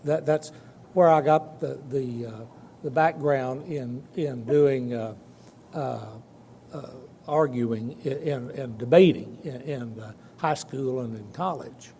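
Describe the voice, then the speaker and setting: Elderly man speaking in an echoey hall. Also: mellow. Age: 70s or older. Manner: halting, folksy, with many false starts and repeats. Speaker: guest speaker, trial lawyer. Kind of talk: informal personal testimony speech. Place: large room at a dinner event. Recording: echoey hall, noisy with low rumble.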